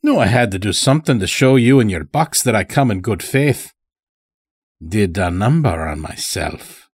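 A man voicing a stout, sly old farmer in an audiobook story, with a folksy drawl and unhurried, dragged-out words. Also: fairly low pitch, expressive, breathy edge, no echo. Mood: folksy, musing.

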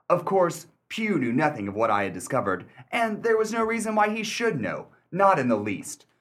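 A man acting as a shrewd, sardonic gentleman narrator in a mystery radio play, speaking with deliberate, theatrical emphasis and dry wit. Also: on the high side. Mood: sly.

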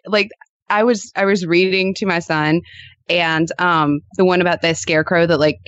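Animated, casual woman storyteller, close and dry. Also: lowish voice, moderate pace, no echo, dull audio. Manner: animated storyteller, peppers talk with "like". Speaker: podcast guest. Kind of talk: casual, anecdotal chat.

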